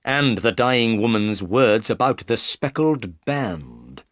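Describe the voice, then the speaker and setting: A man voicing a calm, shrewd male detective who speaks in a measured, firm way. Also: on the low side, no room sound, clean studio sound, full character voice.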